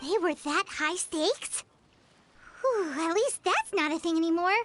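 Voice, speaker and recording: A woman voicing a tiny, curious, excitable companion creature in a video game, squeaky and exclamatory. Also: a little slow, slight background noise, exaggerated voice. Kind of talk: video game story dialogue.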